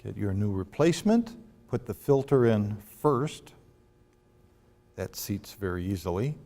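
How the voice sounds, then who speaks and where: A man giving a step-by-step instructional demonstration in a deliberate, phrase-by-phrase way with firm instructions. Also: fairly low pitch, animated, mellow. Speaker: product demonstrator. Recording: long reverberant room echo.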